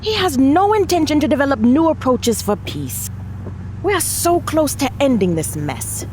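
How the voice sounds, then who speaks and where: A woman's voice: sits high, big pitch swings, normal speed, a little mellow, a little hiss or hum. An actress playing a determined, frustrated American congresswoman in an audio drama, emphatic and plain-spoken, with an American accent. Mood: indignant. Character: determined, frustrated congresswoman and wife with presidential ambition.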